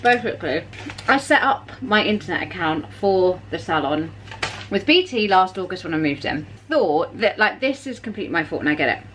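A woman's voice: fairly low pitch, expressive, normal speed, a bit bright, a little hiss or hum. A woman, chatting to camera in her kitchen and telling an exasperated story. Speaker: vlogger. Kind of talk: casual chat to camera. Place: home kitchen, indoors. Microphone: camera at arm's length.

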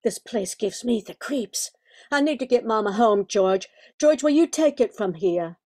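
A woman's voice: a little high, lively intonation, measured pace, darkish tone. An older woman playing an uneasy, protective grown daughter in an amateur radio drama.

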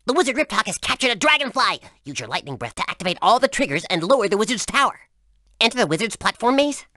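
Chatty eager cartoon helper critter, bright swoopy voice, video game. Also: female voice, a little high, fast talker, dry recording, studio quality, heavily acted. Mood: urgent. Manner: lively, chatty. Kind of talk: scripted video game character dialogue.

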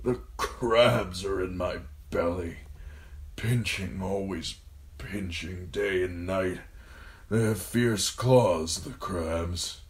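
A man voicing a frail, dying old lord and father in an audiobook, tender and pained, murmuring haltingly in a thin, wispy voice. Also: low voice. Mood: pained, fearful. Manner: slow, halting, murmuring speech.

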